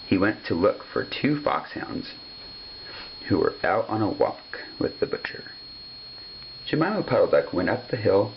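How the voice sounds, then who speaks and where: Low, creaky man reading a storybook. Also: lo-fi sound, a little hiss or hum. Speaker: storybook reader. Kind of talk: scripted children's story narration.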